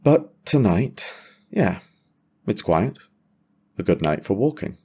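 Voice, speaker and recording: A man playing a battle-worn dragon rider father, terse and quietly tender, in a fantasy audio drama. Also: fairly low pitch, big pitch swings, takes it slowly, breathy edge, voice pushed up, exaggerated voice. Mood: dry, calm. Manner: terse, understated. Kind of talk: audio drama character dialogue.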